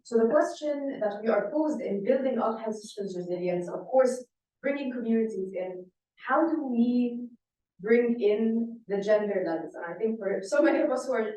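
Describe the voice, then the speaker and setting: A woman's voice: a little high, animated, normal speed. A woman in the audience projecting her voice to ask a question at a conference panel. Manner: loud, projecting voice. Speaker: audience member asking a question. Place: large conference room. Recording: echoey hall from far off.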